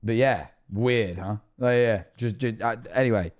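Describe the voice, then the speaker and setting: A young man in his twenties streaming from his bedroom, rambling and trailing off quietly, with a voice that is going rough and hoarse. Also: fairly low pitch, moderate pace. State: losing his voice, rough throat. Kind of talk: casual reaction stream chat. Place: bedroom streaming setup indoors. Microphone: headset on a streaming setup.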